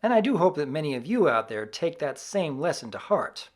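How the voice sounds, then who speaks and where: A guest commentator speaks in a mid-range voice with a very lively, swinging intonation. The scripted review is delivered in formal, measured wording. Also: medium pitch.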